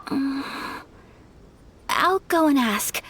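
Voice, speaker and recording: A woman playing a gracious young noblewoman in a video game, speaking politely, formally and gently. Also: sits high, animated, very slow, heavily acted. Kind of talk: video game character acting.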